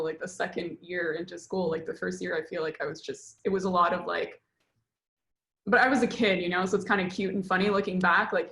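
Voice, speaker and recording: A woman speaks as a documentary director, and she thinks aloud in a hesitant way with many fillers. Her voice sits fairly low. The sound is roomy and echoey. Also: fairly bright tone. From Montreal, Canada. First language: French. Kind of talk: casual interview. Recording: roomy echo.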